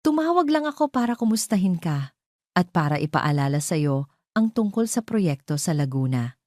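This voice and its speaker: A woman's voice: fairly low pitch, big pitch swings, normal speed, a little mellow, dry recording, studio quality. Woman playing a silky, steely rich older matriarch. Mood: silky, controlling. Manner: silky, controlling.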